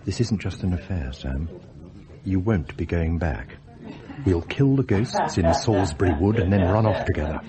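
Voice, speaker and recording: An actor playing a cocky, confident television investigator in a radio drama, brisk and conspiratorial. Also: male voice, low-pitched, expressive, crowd noise. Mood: cocky, urgent.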